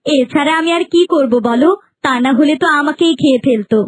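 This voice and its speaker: A woman's voice: high voice, brisk, natural voice. A woman voicing an anxious, complaining village woman in a children's cartoon, with a plaintive, fretful delivery. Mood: fearful, warning.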